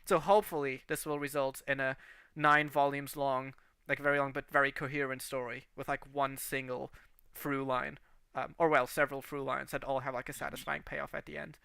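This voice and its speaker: Bright, animated Portuguese-born man. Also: medium pitch, fairly quick, gravelly, smooth, no room sound. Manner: animated, rambling with many restarts and fillers. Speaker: series creator and writer. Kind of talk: casual livestream chat.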